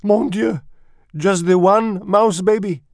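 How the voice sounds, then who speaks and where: A man's voice: sits high, fairly even intonation, slow pace, a little mellow, no room sound, studio quality, voice pushed up. A man voicing a vain, despairing mother mouse with a French accent and a theatrical, self-pitying manner in a storybook reading. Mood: distraught.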